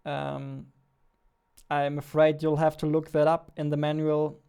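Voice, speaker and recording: A young man talks casually as he streams his game development work. His voice is fairly high for a man and quite smooth, with little rise or fall in pitch. He thinks aloud haltingly, often half to himself. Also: monotone, dark tone. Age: 20s. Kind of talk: casual livestream chat while working. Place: indoor room at a computer, keyboard clicks behind.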